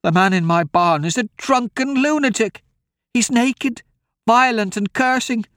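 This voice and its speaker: A man's voice: extremely high pitch, unhurried, a bit bright, dry recording, clean studio sound, pitched above the performer's own voice. A man voicing a frightened old farm woman in an audiobook, with urgent, folksy, rustic talk. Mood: anxious.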